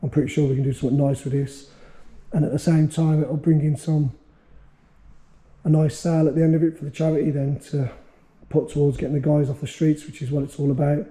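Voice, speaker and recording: A man with a hoarse, fading voice from a sore throat, hosting a casual workshop vlog and rambling with many fillers. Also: fairly high pitch, flat delivery, fairly quick, mellow, rough recording. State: losing his voice, sore throat. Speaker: workshop owner and channel host.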